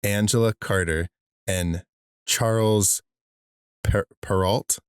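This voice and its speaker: A man's voice: on the low side, fairly even intonation, slow, clean tone, no room sound. A man co-hosting a podcast, telling stories in a rambling way, laughing as he talks. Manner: rambling with many fillers and restarts, laughs while telling stories. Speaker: podcast co-host. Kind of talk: casual chat.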